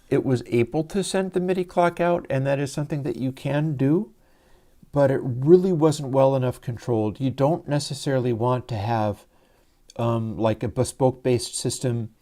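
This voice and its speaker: A man hosting a casual livestream and explaining his audio gear step by step in a soft, soothing voice, talking into a lavalier mic. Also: mid-range voice. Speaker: livestream host and audio developer.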